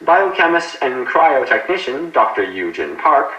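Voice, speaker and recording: A man's voice: mid-range voice, very animated, unhurried, pushed and bright, dull audio. A man playing a courteous, formal spacecraft captain in an analog horror drama, commanding yet encouraging, in a roomy echo. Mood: formal, proud. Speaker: ship's captain.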